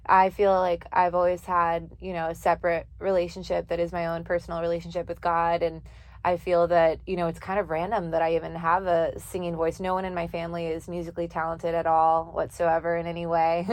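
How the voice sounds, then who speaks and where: A woman's voice: fairly low pitch, no room sound. A young woman, a singer and poet, talking earnestly in a casual interview, with many fillers and easy laughs. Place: office studio.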